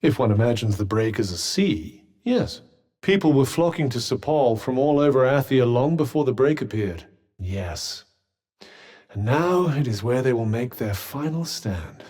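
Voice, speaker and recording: A man's voice: medium pitch, animated, measured pace, dark tone. A male actor voicing a haughty, erudite magical cuff in a video game, with a British accent and a dry, sarcastic, pompous manner. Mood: erudite, explanatory. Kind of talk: video game companion dialogue.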